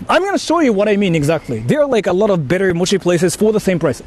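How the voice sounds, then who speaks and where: A man from Japan with a Japanese accent chatting casually to livestream viewers in animated, repetitive, halting phrases. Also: sits high. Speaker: live-streamer. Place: outdoors in a city.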